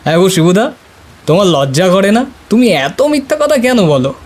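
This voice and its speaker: Man playing cheeky, high-pitched teasing friend. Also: moderate pace, a little hiss or hum. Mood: mocking, amused. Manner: teasing, mocking, animated. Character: Dipu, cheeky young male friend, mocking sceptic. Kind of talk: audio drama dialogue.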